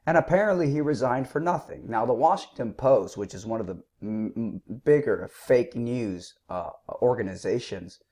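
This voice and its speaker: A man with a sore throat giving combative, scornful political commentary, clearing his throat now and then. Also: mid-range voice, expressive, normal speed, hardly any pauses, darkish tone. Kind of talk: opinionated commentary.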